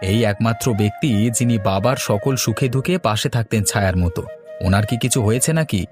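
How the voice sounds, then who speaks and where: A man's voice: fairly low pitch, quick pace, close to an everyday voice. A man voicing a hardworking village fan seller and family man in a cartoon, earnest and polite. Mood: hopeful. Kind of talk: cartoon voice acting. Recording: music playing behind the voice.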